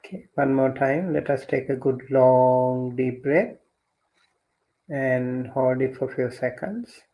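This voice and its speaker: Slow, gravelly man guiding meditation. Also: medium pitch, highs cut off. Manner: gentle, deliberate, with long pauses. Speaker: meditation teacher leading guided meditation. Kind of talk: guided meditation instruction.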